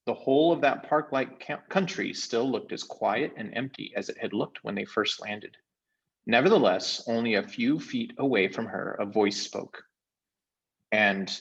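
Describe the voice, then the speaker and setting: Man, storybook narration, medium pitch, animated intonation, slightly muffled recording. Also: breathy edge. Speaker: read-aloud storyteller.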